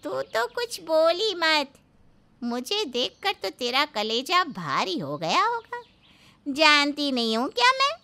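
A woman voicing a taunting, bossy elderly aunt-in-law in a cartoon family story, with a sarcastic, drawn-out delivery. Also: high-pitched, big pitch swings, pushed and bright, exaggerated voice. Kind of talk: animated Hindi family moral story acting.

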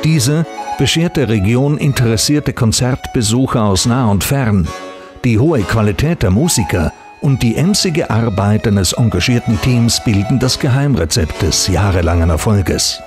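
Guy doing a tv news voice-over, steady delivery, music playing under it. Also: mid-range voice. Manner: even, measured reporting. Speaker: news narrator. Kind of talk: scripted voice-over narration.

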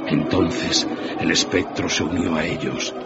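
A man's voice: low voice, fairly even intonation, unhurried, loud music behind the voice. A man narrating a scripted dramatic story as the narrator of a radio drama. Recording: muffled, loud background ambience and noise.

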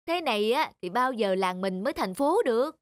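Scoffing, whiny village spendthrift. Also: male voice, extremely high pitch, lively intonation, normal speed, dry recording, clean studio sound. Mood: scornful, boastful. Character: brash, spendthrift younger brother, a gambling villager. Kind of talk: animated cartoon dialogue.

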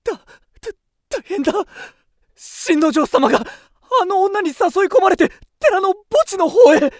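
A man's voice: extremely high pitch, lively intonation, unhurried, dry recording, studio quality, heavily acted. A man playing a loyal, panicky manservant in a radio drama, speaking with stammering alarm. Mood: panicked. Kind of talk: Japanese radio drama acting.

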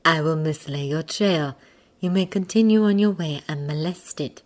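A woman voicing a reserved, formal witch with a quiet, measured manner in a storybook reading. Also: low voice, close to an everyday voice. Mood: composed.

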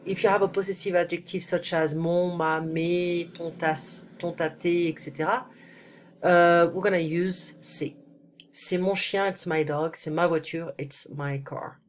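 Woman, deep voice, stuffy cold, patient tutor, screen-recorded lesson. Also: fairly even intonation, unhurried, slight background noise. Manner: switches between French and English, explaining patiently with frequent "ok?". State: has a cold, stuffy nose. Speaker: French tutor. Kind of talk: one-on-one screencast language lesson. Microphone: computer mic, voice-over screen recording.

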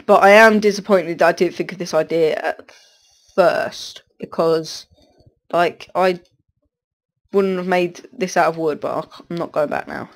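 A man's voice: high voice, steady tone, unhurried. A teenage boy with a stuffy cold, rambling through casual gameplay commentary and often breaking off mid-sentence. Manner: rambling, self-interrupting, distracted. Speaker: gaming commentator.

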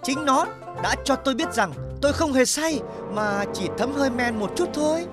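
Guy doing cocky cartoon celeb defendant, high voice, pleading, music under it. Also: animated, normal speed. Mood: self-important. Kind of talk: cartoon comedy acting.

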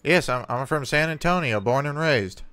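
A man's voice: fairly high pitch, animated, normal speed, sharp tone, smooth. A man baiting a phone scammer, talking hesitantly and stammering as he plays a naive caller. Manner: hesitant, stammering, playing dumb. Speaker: scam baiter streamer.

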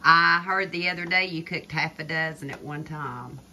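An older woman chatting casually from behind a phone camera in a home kitchen, gently coaxing a child with tag questions while filming. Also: low voice, moderate pace, slight background noise. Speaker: vlogger filming a grandchild cooking. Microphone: phone camera held by the speaker. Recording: kitchen clatter of pots and dishes.

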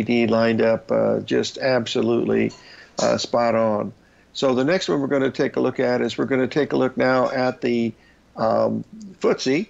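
A gravelly older man hosts a trading show from a small room, speaking through a headset in a casual, folksy manner. The sound is dull and lo-fi, like a remote call. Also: mid-range voice. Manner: folksy, chatty, calls listeners folks. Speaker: show host. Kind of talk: casual market chart talk.

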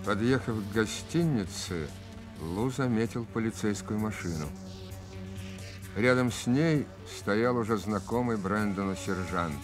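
A man's voice: fairly low pitch, a little slow. A man narrating a radio drama from a script. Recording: loud music behind the narration.

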